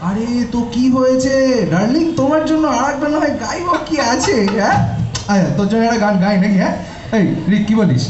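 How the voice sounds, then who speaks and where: A man's voice: extremely high pitch, animated, brisk. An actor playing a wistful, lovestruck young man bantering casually in an audio drama. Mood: playful. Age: young adult. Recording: dull, roomy recording under loud music.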